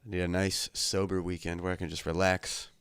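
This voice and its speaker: A young man talks to his livestream chat in a laid-back, joking way, crude and unhurried. His voice sits a little low and comes through smooth and clear, slightly congested from a cold. The sound is dry and close. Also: level tone, a bit bright, dry recording. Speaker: livestream host. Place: his bedroom at home.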